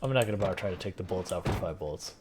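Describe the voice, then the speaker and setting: A man streaming a game and chatting with viewers in a relaxed, rambling way, with a soft, soothing voice. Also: low voice, rapid-fire, background noise. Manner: relaxed, rambling, many fillers and restarts. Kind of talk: casual gameplay stream chat.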